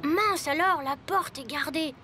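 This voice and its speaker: A woman's voice: high-pitched, measured pace, loud music behind the voice. A woman voicing a spirited schoolboy hero in a cartoon. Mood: urgent.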